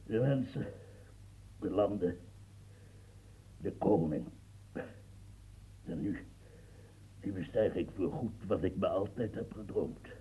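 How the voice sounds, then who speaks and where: A man's voice: deep voice, expressive, slow pace, pauses often, mellow, heavily acted. An actor playing an aging French statesman near death, feverish and rambling, stammering as he talks. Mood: dying whisper. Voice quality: gravelly, stammering. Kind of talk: radio play acting. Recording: tinny lo-fi old radio recording.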